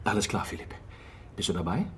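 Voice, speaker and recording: A man's voice: lowish voice, big pitch swings, fairly quick, a bit bright, slightly rough, faint music behind, heavily acted. A man playing a young, curious and eager newspaper reporter in a radio drama.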